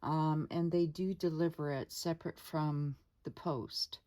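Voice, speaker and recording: A woman hosting her own art channel, chatting casually at her dining table. She rambles with many fillers and asides to viewers, her voice lower than usual today. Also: steady tone, measured pace, dark tone. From Canada. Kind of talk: casual unscripted chat. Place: home dining room table.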